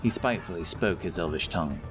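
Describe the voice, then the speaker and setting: A man's voice: low-pitched, animated, quick pace. A man narrating a scripted fantasy audiobook as storyteller. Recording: narration over loud background music. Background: music, outdoor sound effects.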